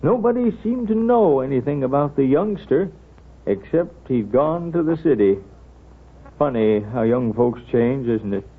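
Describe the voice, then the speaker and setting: Husky, folksy country doctor, old tinny radio. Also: male voice, mid-range voice, animated. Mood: gentle, reflective. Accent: American. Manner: folksy, unhurried storytelling. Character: wise, kindly, humorous small-town country doctor. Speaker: actor in radio drama. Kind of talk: 1930s radio acting. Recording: muffled, band-limited 1930s radio recording.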